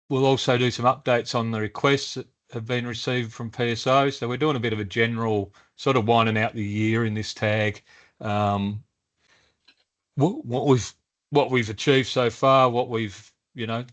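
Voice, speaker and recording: Relaxed man hosting a meeting. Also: mid-range voice. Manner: relaxed, loose with fillers. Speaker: meeting facilitator. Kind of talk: workshop introductions. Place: meeting room.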